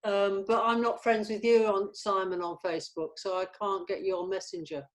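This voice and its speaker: A woman with a mid-pitched voice speaks informally in a planning meeting. She comes across as an organiser, a little hesitant as she goes through practical matters. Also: level tone, moderate pace. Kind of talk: casual production meeting talk.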